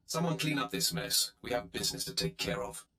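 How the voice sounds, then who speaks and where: A dark warrior lord in a space-fantasy game, arrogant and threatening. The voice is forceful and hard-edged, with animated swings in pitch. It rings in an echoey space. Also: male voice, a little fast. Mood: menacing. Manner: imperious, threatening, curt. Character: menacing, arrogant male Sith warrior lord. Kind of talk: video game voice acting. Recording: echoey, roomy sound.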